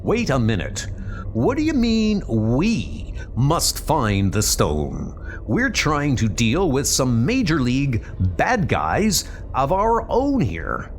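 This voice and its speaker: A man's voice: medium pitch, huge pitch swings, takes it slowly, slight background noise, full character voice. A man voicing a young superhero in a comic book dub, flustered, impatient and indignant, with an agitated colloquial delivery. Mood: exasperated.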